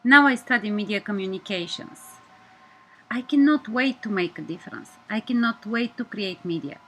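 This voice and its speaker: A woman's voice: mid-range voice, normal speed, fairly bright tone, rough recording. Earnest Bulgarian-accented woman. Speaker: media student. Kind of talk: scripted personal presentation.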